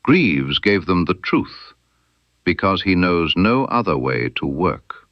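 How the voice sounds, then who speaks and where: A man voicing a stern, incisive detective in an audiobook mystery, precise and emphatic, with dramatic pauses. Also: low-pitched, very animated, no echo, dull audio, exaggerated voice. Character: stern, incisive male detective laying out his case.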